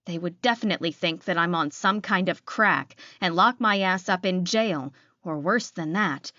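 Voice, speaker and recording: A woman's voice: fairly low pitch, normal speed, pushed and bright, no echo, lo-fi sound. A woman reading first-person fiction as a scripted audiobook narration.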